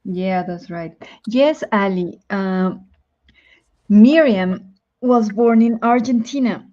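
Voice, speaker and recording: Woman, Spanish accent, chatty teacher guest, thinks aloud, mid pitch, a bit lo-fi. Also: takes it slowly, dark tone. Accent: Spanish-speaking. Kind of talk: casual chat on a live stream.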